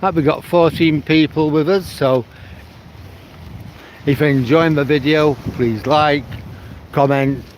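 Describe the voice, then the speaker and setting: A man's voice: a little high, fairly even intonation, slow pace, long pauses, a little hiss or hum, low-quality recording. Chatty northern English man outdoors. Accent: mixed northern English. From Manchester, England. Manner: chatty, answers viewers' comments by name. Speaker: livestream vlogger. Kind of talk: casual walking livestream chat. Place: outdoors on a riverside promenade.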